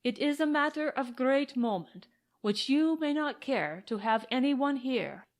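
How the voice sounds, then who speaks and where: A woman's voice: sits high, animated, measured pace. A woman voicing a grave, humble old priest in an audiobook western, speaking formally and courteously. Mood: grave, penitent. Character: grave, humble, sad old male padre.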